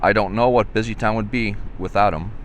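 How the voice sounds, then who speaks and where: A friendly raccoon shopkeeper from a storybook , read by a man. The voice is fairly low, neighborly and admiring. There is noise in the background. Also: fairly even intonation, a little fast, fairly bright tone, close to an everyday voice. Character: friendly male raccoon coffee shop owner.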